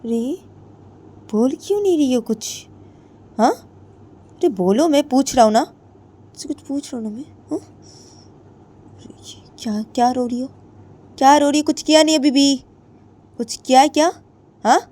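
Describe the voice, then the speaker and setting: A woman voicing a domineering young woman who keeps loudly demanding answers and repeating her questions. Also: high voice, unhurried, pauses often, pitched above the performer's own voice. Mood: angry, demanding. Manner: badgering, repeats demands, loud and insistent.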